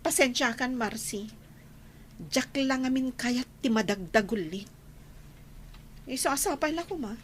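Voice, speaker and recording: A woman's voice: on the high side, unhurried, pauses often, slight background noise, natural voice. A woman acting a firm, emotional young student who turns down a suitor in an Ilocano radio drama. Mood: firm, frustrated.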